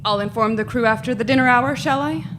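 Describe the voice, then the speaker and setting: A woman's voice: a little high, fast, noisy background, lightly acted. A woman playing a dutiful, tactful submarine officer in a stage radio drama, with military formality. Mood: deferential.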